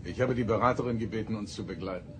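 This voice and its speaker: An actor playing an authoritative, probing starship captain in a sci-fi audio drama, formal, measured and commanding. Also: male voice, on the low side, heavy background noise, close to an everyday voice. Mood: curious, composed.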